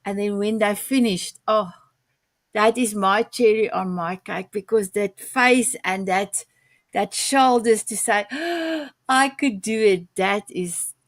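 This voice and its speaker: A woman's voice: mid-range voice, slow, no echo. A woman whose first language is Afrikaans, hosting a talk show and chatting in a hesitant, repeating way. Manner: hesitant, repeats words, animated. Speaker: host. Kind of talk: casual talk show chat.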